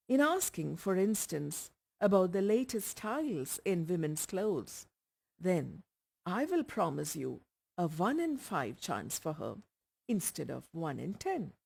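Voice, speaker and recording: A woman voicing a busy male doctor, frank and measured, with deliberate pauses. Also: on the low side, very animated, vocal fry, a little mellow, slightly rough, full character voice.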